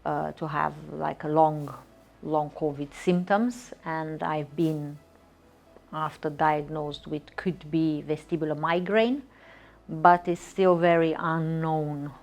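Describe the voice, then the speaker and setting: Woman, deep and creaky, hesitant interviewee answers. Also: fairly even intonation, takes it slowly. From Romania. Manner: hesitant, many fillers and repeated words. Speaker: patient.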